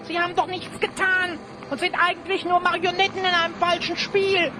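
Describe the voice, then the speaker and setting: A woman's voice: very high-pitched, little pitch movement, brisk. A woman playing a small, plucky, conscientious magical sidekick in an audio drama, with an urgent, theatrical delivery. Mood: torn, anxious. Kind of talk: German audio drama acting.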